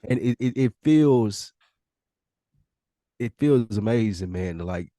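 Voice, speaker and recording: A man's voice: on the low side, expressive, slow pace, mellow, no echo, clean studio sound. An American man chatting casually as a podcast co-host, emphatic and given to repeating his phrases.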